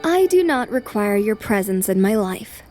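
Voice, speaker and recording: A woman voicing a poised, self-assured young noblewoman in an anime fan dub, formal, calm and resolute. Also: mid-range voice, moderate pace, soft background music, natural voice. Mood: calm resolve.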